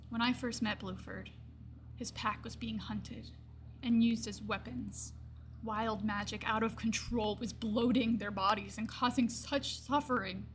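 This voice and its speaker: A woman voicing a gentle old gray-furred lion-folk druid in a fantasy game monologue, wistful and reflective, with pauses and hushed trailing phrases. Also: medium pitch, slight background noise, lightly acted, rough recording.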